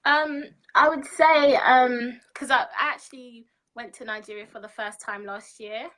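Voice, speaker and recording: A woman, answering interview questions over a video call in a measured way, with frequent ums. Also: on the high side, steady tone, a bit bright. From London, UK. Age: 20s. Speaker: interview guest. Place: indoor room.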